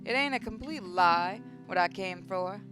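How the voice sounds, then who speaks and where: A woman reading a visual novel aloud as expressive first-person story narration. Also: mid-range voice, moderate pace. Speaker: gaming channel host reading a visual novel. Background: guitar music.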